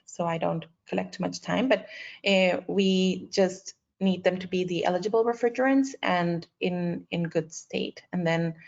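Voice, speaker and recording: A woman's voice: lowish voice, creaky, a little mellow. A woman hosting an online panel, speaking off the cuff in a polite, accommodating way. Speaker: host and moderator of an online panel. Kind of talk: moderating a webinar, unscripted. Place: video conference call. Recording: dull lo-fi online call audio.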